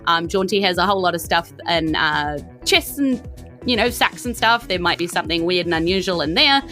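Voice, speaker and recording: Lowish-voiced New Zealand woman game master. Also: expressive, a little fast, pushed and bright, faint music behind. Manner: loose, conversational. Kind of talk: improvised narration of a tabletop game.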